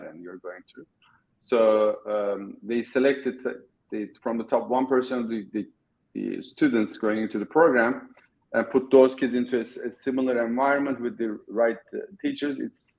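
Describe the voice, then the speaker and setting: A middle-aged man with a Turkish accent, a guest in an interview, talking in a halting way with many restarts. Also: medium pitch, highs cut off. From Turkey. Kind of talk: casual interview talk.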